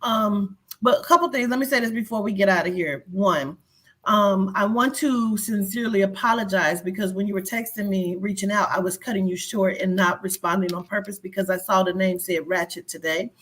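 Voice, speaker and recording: A woman's voice: mid-range voice, a little fast, fairly bright tone. A woman guest in a casual interview, chatty and earnest.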